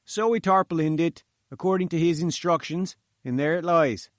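A man's voice: a little high, normal speed, no room sound, studio quality, pitched above the performer's own voice, lightly acted. A man voicing a gloomy, nervous chief engineer in an audiobook story, with an uneasy, emphatic manner. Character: nervous, lugubrious chief engineer, wary and stubborn. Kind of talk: audiobook character dialogue.

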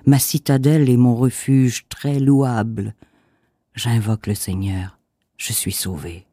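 A man reads scripture in an unhurried, reverent cadence, pausing between each short phrase. His voice is warm and mellow, sitting in a middle register. The recording is dry and close, with no room sound. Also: mid-range voice, no echo. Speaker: scripture reader. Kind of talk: slow solemn Bible reading.

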